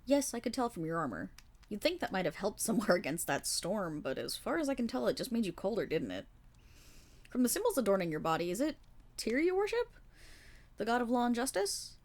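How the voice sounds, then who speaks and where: A woman playing a kindly, weary and remorseful paladin in a fantasy roleplay audio, speaking formally in measured, gentle storytelling with dramatic pauses. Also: medium pitch, sing-song. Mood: friendly, curious. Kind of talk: fantasy roleplay audio monologue addressed to the listener.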